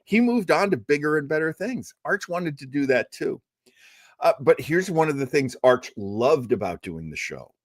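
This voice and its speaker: A man's voice: a little high, sing-song, normal speed, dry recording, clean studio sound. A man hosting a casual live stream, talking in an enthusiastic, rambling way and acting out bits of conversation. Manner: enthusiastic, rambling, acts out quotes. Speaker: livestream host.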